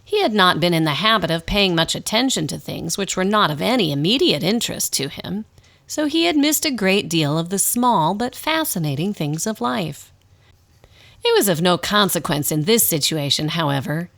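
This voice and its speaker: A woman acting as the narrator of a radio drama, telling a scripted story in a slightly formal, expressive storybook style. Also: fairly low pitch, normal speed, gravelly. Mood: storytelling. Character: storybook narrator, wry and unhurried.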